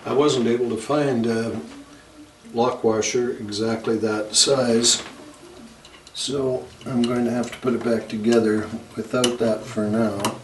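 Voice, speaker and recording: A man narrating a hands-on repair tutorial in a halting, unscripted way, as if working at a bench indoors. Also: medium pitch, steady tone. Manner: hesitant, unscripted, halting delivery. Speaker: electronics repair video host. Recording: roomy, distant mic, noisy background.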